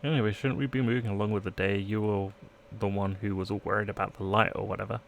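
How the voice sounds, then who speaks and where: Low, gravelly male streamer. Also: fairly even intonation, fast, slight background noise. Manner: playful asides, trying out accents. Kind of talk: live let's play, reading game text aloud.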